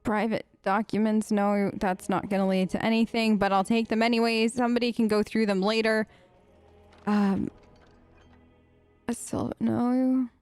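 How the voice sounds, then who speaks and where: A woman's voice: a little high, little pitch movement, unhurried, a little mellow, smooth, faint music behind. A young woman giving chatty, playful role-play commentary while playing a game, close on the mic. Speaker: let's play host.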